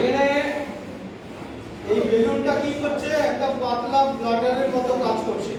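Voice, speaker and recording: A man teaching a science class, repeating points and questioning the class. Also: extremely high pitch. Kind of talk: classroom lesson with demonstration. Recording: echoey noisy room, distant mic.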